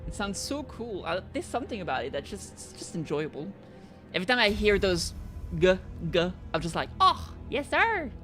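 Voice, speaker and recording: A woman's voice: mid-range voice, big pitch swings, normal speed, a bit bright, faint music behind. A young woman streaming a game, reading the subtitles aloud with playful chatter. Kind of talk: casual gameplay commentary.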